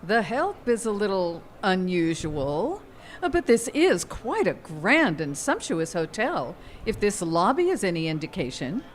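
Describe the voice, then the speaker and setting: Woman playing a wary, animated wife. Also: medium pitch, unhurried. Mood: gracious. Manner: questioning, exclamatory. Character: wary, easily affronted wife, a hotel guest. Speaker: actor. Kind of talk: live radio comedy-horror acting. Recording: live stage recording, background noise.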